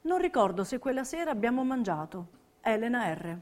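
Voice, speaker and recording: A woman with a fairly high voice narrates a documentary stage piece. Her delivery is scripted and measured, with every word clearly set out as she reads history and testimony. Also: sing-song, darkish tone.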